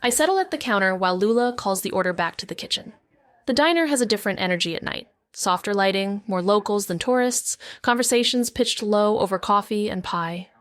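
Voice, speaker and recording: A woman's voice: medium pitch, lively intonation, fairly quick, dry recording, lightly acted. A woman playing a wry, self-mocking young sleuth back in her hometown, narrating in the first person and stumbling a little in conversation. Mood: reflective. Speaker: narrator and lead character. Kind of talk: first-person noir audio drama narration.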